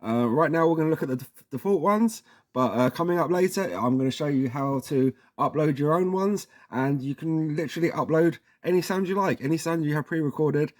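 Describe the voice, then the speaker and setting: A man's voice: a little high, fairly quick, a little mellow. A man presenting a casual step-by-step tech tutorial in an informal, chatty way, often checking in with the listener as he goes. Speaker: presenter of a product tutorial series.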